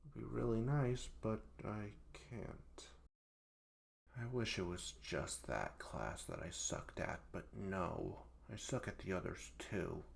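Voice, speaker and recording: A male performer voices a hapless young man in a comedic audio roleplay, speaking straight to his best friend. His voice sits low with a gravelly fry at the edges. He rambles and stammers, flustered and self-deprecating, like a well-meaning student owning up to failure. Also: lively intonation, slow, a little hiss or hum, full character voice. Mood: rueful, self-deprecating. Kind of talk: comedic audio roleplay monologue addressed to the listener.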